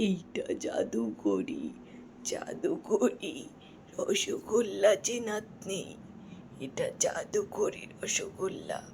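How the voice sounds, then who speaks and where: A woman acts out an elderly cartoon grandmother telling tales of magical sweets. The voice is low, dark and creaky, drawn out very slowly, with faint noise behind it. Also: heavily acted. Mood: mysterious, proud. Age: older. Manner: slow, drawn-out storytelling. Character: slow, doting old granny who makes magical sweets.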